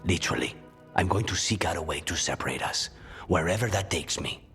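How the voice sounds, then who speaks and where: An actor playing a brooding, demon-possessed assassin in a video game, grave and measured, his phrases sometimes falling hushed. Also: low voice, lively intonation, soft background music. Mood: guarded, resolute. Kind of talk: video game cutscene acting.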